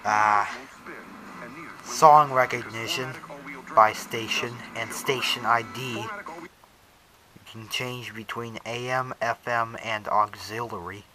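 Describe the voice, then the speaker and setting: A man's voice: medium pitch, fairly even intonation, takes it slowly, bright, forceful tone, a little hiss or hum, low-quality recording. A man casually showing off a radio in an unboxing vlog, rambling as he handles it and filling gaps with "so" and "uh". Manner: rambling, talks while handling the device, many "so" and "uh". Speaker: radio collector showing his gear.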